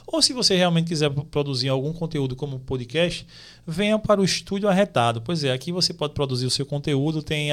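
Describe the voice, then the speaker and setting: A man, co-hosting a podcast in a relaxed, slangy manner. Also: fairly high pitch. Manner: relaxed, slangy, quick interjections. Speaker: podcast co-host. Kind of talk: casual podcast chat and studio plug.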